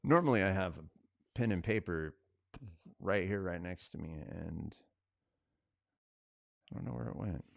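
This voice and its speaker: A man's voice: deep voice, animated, measured pace, vocal fry, long pauses, no echo, studio quality. A man chatting casually on a gaming livestream, laid-back and musing aloud to viewers. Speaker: live streamer.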